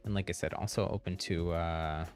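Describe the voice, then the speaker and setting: A man's voice: low-pitched, steady tone, moderate pace, faint music behind. A man chatting casually on a game livestream in a rambling, easygoing way. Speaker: live streamer.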